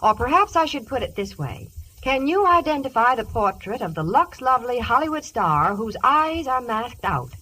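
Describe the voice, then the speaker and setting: A high-voiced American woman announces a scripted sales pitch in 1950s radio style. Her intonation swings widely, and it sounds like a vintage broadcast recording. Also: sing-song, normal speed, bright, forceful tone, slightly rough, low-quality recording.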